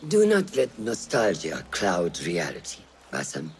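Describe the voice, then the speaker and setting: Deep, slow, stern mentor. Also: male voice, huge pitch swings, slight background noise, heavily acted. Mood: grave, cautioning. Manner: grave, deliberate. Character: stern male assassin mentor, grave and watchful. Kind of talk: video game character acting.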